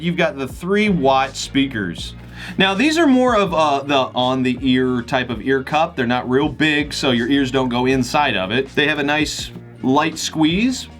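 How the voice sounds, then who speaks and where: A man's voice: a little high, fairly bright tone. A man hosting a gadget unboxing video, talking casually and enthusiastically to the camera in an informal, chatty way. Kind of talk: casual product review to camera.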